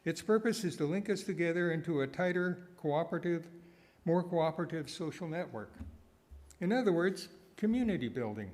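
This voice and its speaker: A man delivering a prepared sermon with wry asides, phrasing deliberately and repeating words for emphasis. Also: fairly high pitch, lively intonation, a little mellow. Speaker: guest speaker giving a sermon, nonprofit founder.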